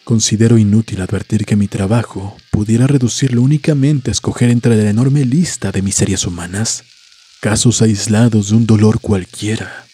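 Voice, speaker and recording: A man narrating a scripted horror story in a solemn, deliberate, dramatic way, letting phrases trail off softly. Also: fairly low pitch, breathy edge. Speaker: host and narrator of a story series. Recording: music behind the voice. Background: music.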